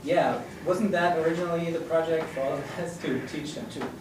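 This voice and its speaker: A man with a fairly high voice asks a rambling question, stopping often to search for words. He is picked up from a distance in a roomy space with clear background noise. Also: fairly even intonation, brisk. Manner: hesitant, searching for words, laughs softly. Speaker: audience member asking a question. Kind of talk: question from the audience. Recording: roomy audio from away from the mic, with noise.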